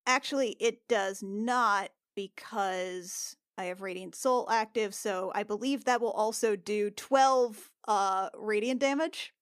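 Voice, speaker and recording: A woman narrating her moves casually as a player in a tabletop game, halting and thinking aloud, with some swearing. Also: mid-range voice, smooth, dry recording, studio quality. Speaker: player in a tabletop RPG podcast.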